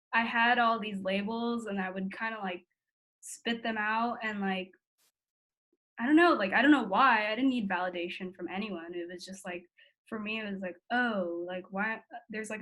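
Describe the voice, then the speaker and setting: A woman's voice: mid-range voice, fairly bright tone. An eighteen-year-old woman with an American accent talking candidly and informally, reflecting on herself with lots of "like". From born in the Philippines, grew up in the United States. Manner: informal youthful talk, heavy use of "like", self-reflective. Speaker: interviewee in a family conversation. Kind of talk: candid casual conversation.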